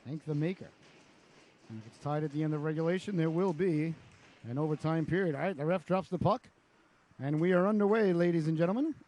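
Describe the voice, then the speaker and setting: A man with a raspy voice from a cold, calling live hockey play-by-play with chatty asides. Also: fairly high pitch, dark tone. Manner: chatty asides between rapid calls. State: hoarse from a cold. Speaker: play-by-play announcer.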